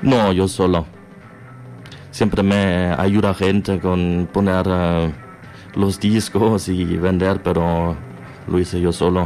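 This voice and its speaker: A shy man from Germany, speaking Spanish that is not his tongue, answering questions in a halting way with many pauses on a radio show. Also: low voice, flat delivery, darkish tone, soft background music. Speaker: guest, record label founder. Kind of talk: radio interview.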